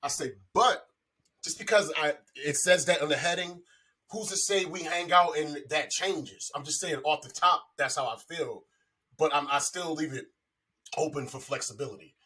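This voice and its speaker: A man's voice: fairly high pitch, moderate pace, pushed and bright, husky, rough recording. A man in his forties chatting casually and telling personal stories, with profane, slangy talk and a habit of repeating himself. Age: 40s. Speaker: podcast guest. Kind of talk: casual chat, personal anecdotes.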